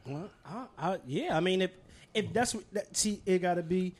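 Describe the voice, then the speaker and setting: A man's voice: high voice, expressive, normal speed, a little hiss or hum. A man co-hosting a podcast, debating casually and emphatically, often repeating himself to press his point. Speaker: podcast co-host.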